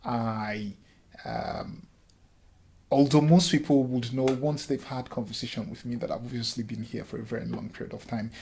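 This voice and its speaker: Give me an earnest Nigerian man in his thirties, with a slightly husky voice. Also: medium pitch, steady tone, moderate pace, a little mellow. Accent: Nigerian with a light Scottish touch. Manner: earnest, many ums and restarts. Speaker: interviewee, lecturer and former councillor. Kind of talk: reflective interview answers.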